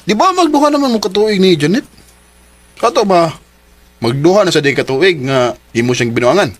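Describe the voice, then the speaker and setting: A man's voice: high voice, lively intonation, moderate pace. A man with a Cebuano accent acting an indignant friend who scolds in a radio drama. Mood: reproachful.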